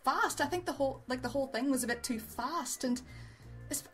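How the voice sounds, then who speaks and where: A woman's voice: sits high, brisk, faint music behind. A woman co-hosting a casual review show, talking in an informal, rambling, giggly way. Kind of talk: casual chat, reviewing amateur voice acting.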